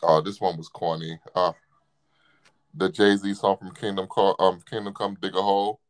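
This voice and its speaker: A man's voice: low voice, flat delivery, moderate pace. A man in a casual chat, playfully quizzing and needling the other speaker.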